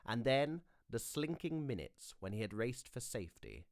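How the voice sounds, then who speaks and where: Male audiobook narrator, very expressive pitch. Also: no room sound, clean studio sound. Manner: measured, dramatic storytelling.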